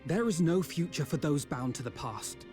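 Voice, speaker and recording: Man playing an earnest, stern adventurer, mellow-voiced. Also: a little high, lively intonation, soft background music, lightly acted. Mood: stern, urging. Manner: formal, measured fantasy phrasing. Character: earnest, stern male adventurer urging redemption. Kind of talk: fantasy game dialogue.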